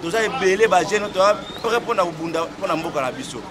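A man's voice: high-pitched, lively intonation, quick pace. A Congolese man speaking emphatically and insistently as he gives his opinion in a street interview. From Democratic Republic of the Congo. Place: outdoors by a doorway on a street. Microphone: small wired lapel mic held near mouth. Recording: loud outdoor street and traffic noise.

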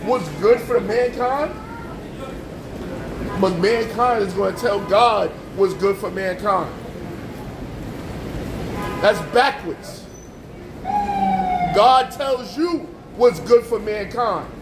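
High-voiced street preacher, man. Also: slow pace, long pauses, reverberant, background noise. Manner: forceful, repetitive preaching with rhetorical questions. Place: outdoors on a street with passing traffic.

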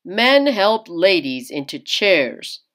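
A woman reading a storybook as an older great-uncle, a patient, worldly, encouraging tutor, measured and instructive. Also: lowish voice, sing-song, fairly bright tone, full character voice.

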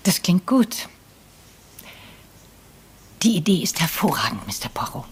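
A woman's voice: low voice, big pitch swings, measured pace, breathy edge, full character voice. An actress playing a frail, wealthy old lady in a crime radio play, wry and theatrical, moving between weary and pointed. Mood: pragmatic.

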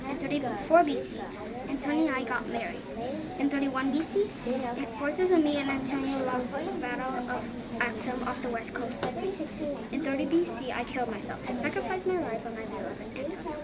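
A young girl with a high voice recites a memorized school report in a careful, steady way. She is recorded close up on a home camera in a small room, with loud crowd noise underneath. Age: child.

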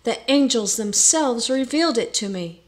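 A woman acts out a crafty young lodger from a storybook, all false holiness. He speaks slowly and solemnly, sighing like someone saintly. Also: on the high side, lively intonation. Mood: tearful, solemn. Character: sly young man feigning saintly piety.

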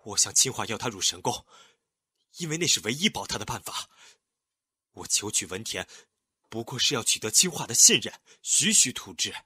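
Actor playing a husky, lovelorn immortal man. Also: fairly low pitch, very animated, normal speed, pushed and bright, exaggerated voice. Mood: anxious, tender. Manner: intense, emotional. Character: grieving, lovelorn immortal man in ancient Chinese fantasy. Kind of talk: dramatic audio drama acting.

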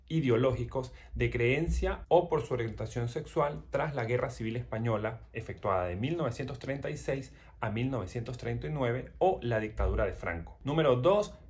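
A man, chatting straight to the viewer as he explains a process step by step, recorded close at home. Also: mid-range voice. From Venezuela. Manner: chatty and direct, addresses the viewer as you, repeats himself. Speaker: YouTuber explaining a legal process. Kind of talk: casual explainer to camera. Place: small indoor room at home. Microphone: camera or phone close in front.

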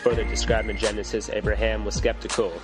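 A man reading scripted narration in a steady, formal way. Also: on the low side, fast talker. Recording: loud music behind.

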